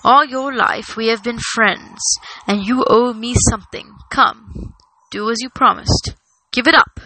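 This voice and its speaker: A woman voicing a grave, stern old male wizard in a storybook, firm, patient and deliberate. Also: a little high, bright, forceful tone, a little hiss or hum, rough recording. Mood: commanding. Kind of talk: storybook character reading.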